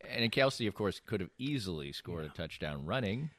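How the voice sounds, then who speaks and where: A man's voice: sits low, sing-song, normal speed, fairly bright tone. An American man hosting casual sports banter, emphatic and opinionated in the way he talks. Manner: emphatic, opinionated, mockingly acts out imagined quotes. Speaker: sports talk show host.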